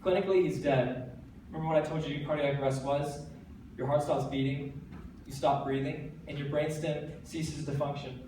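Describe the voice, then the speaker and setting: Teenage man, American accent, earnest stage talk, somewhat high voice, very echoey hall. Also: normal speed. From United States. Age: teens. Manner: earnest, energetic, with light self-deprecating jokes. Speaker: TEDx speaker. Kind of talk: stage talk to a live audience. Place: large hall. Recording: very echoey hall, voice from a distance, faint background noise.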